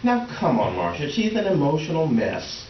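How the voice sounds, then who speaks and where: A man's voice: a little high, huge pitch swings, normal speed, lo-fi sound. Man playing a placating husband, swooping intonation. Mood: defensive, placating. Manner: conciliatory, hesitant. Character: mild, placating, defensive middle-aged husband. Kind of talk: comic stage readers theater. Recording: echoey, distant, very noisy stage recording.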